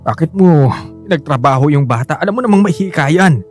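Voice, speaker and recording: A man's voice: a little high, expressive, fairly quick, close to an everyday voice. An actor playing a poor, easily rattled husband and father who frets about money, plain-spoken and grumbling, in a radio drama. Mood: reproachful. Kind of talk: Filipino radio drama acting. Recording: music bed under the voice.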